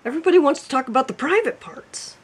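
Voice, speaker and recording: A man's voice: very high-pitched, animated, fast. A man hosting his own home show, chatting casually and self-deprecatingly over a video call, laughing often. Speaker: cooking show host. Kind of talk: casual chat. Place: home room by a fireplace.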